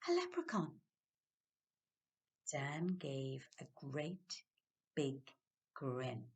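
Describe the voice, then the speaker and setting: Irish lady doing kids storytime, really expressive, swoopy pitch, dramatic pauses. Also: slightly rough. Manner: dramatic, with hushed suspense. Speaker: library storyteller.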